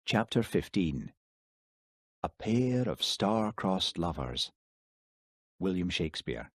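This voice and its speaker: A man narrating an audiobook in a steady, measured storytelling manner. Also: low-pitched, no echo, clean studio sound. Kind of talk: scripted narration.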